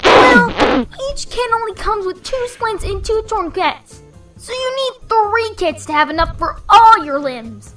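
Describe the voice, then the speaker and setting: Woman voicing high, brash cartoon pegasus mare. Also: lively intonation, measured pace, a bit bright, recorded from a distance, faint music behind, heavily acted. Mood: boastful. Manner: cocky, exuberant delivery. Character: brash, boastful, upbeat adult blue pegasus mare. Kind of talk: animated fan-fiction audio drama acting.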